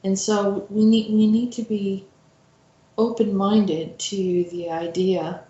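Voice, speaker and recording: A woman host giving informal spoken commentary in a reflective, halting manner. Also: medium pitch, level tone, warm tone, highs cut off. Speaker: host introducing a story. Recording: roomy, echoing voice recording.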